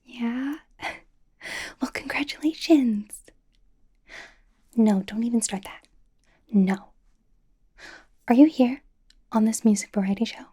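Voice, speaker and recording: A woman playing a bubbly, nervous, supportive young pop idol in a breathy, whispered ASMR roleplay, rambling and reassuring as she goes. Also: a little high, slow, long pauses, warm tone. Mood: tactful, gentle, then encouraging, eager. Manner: rambling, giggly, reassuring, hesitant.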